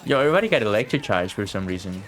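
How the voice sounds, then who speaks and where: A man's voice: fairly low pitch, big pitch swings, very fast, a bit bright. A man giving casual gaming commentary as he streams a video game. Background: video game audio and music.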